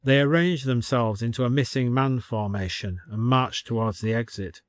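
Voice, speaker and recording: A man's voice: medium pitch, normal speed, no echo, clean studio sound. A man narrating a scripted story as an audiobook reader.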